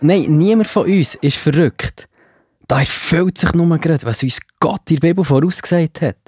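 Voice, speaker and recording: A man's voice: a little high, moderate pace, darkish tone, breathy edge. A man with a Swiss German accent playing an earnest disciple preaching in a children's Bible audio drama. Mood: proclaiming, urgent. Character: Peter, earnest male disciple of Jesus preaching.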